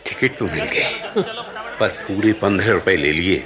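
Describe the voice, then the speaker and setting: A man's voice: low-pitched, lively intonation, unhurried. A man acting a grumbling, exasperated husband in a radio play. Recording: crowd noise behind.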